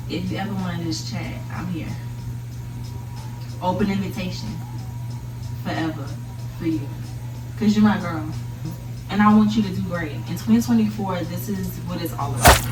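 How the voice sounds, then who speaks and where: Young woman vlogger doing emphatic girl talk pep chat, echoey room, mic a bit far off, music in back. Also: lowish voice. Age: young adult. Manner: emphatic, intimate pep-talk delivery, addressing listener as girl and baby. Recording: roomy echo, distant mic, music behind. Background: background music.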